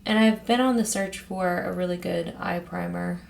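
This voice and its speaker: Hoarse, raspy woman chatting casually. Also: lowish voice, steady tone, normal speed. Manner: chatty, rambling, with frequent ums and soft laughs. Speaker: beauty vlogger host. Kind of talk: casual get-ready-with-me chat.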